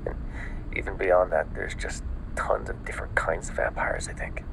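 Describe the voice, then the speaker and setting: A man playing a panicked, exhausted newly turned vampire in an ASMR audio roleplay, stammering and often dropping into a breathy whisper. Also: deep voice, very animated, exaggerated voice, rough recording. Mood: frustrated, uneasy. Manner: halting, stammering, breathy sighs and frequent swearing.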